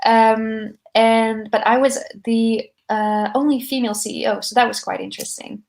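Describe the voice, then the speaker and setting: A soft-spoken woman in her twenties, a startup founder answering podcast interview questions into a microphone, with frequent ums. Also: on the high side, fairly even intonation, normal speed, gravelly. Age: 20s.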